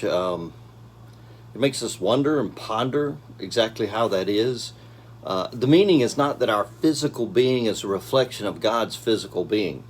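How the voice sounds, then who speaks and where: A man in his fifties giving a casual daily devotional talk from a small office, with gentle pacing and frequent ums and uhs, recorded by a camera at desk distance. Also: lowish voice. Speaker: church devotional speaker.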